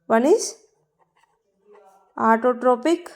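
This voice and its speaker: A woman's voice: on the high side, slow pace. A woman with a South Indian accent giving a biology lesson in a didactic, emphatic way, repeating key terms. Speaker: biology teacher. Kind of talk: classroom lecture, explaining definitions.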